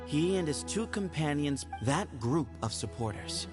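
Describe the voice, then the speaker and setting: A man's voice: on the high side, expressive, unhurried. A man playing a composed, courteous and proud young temple heir in a video game, with formal speech. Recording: game audio with music behind.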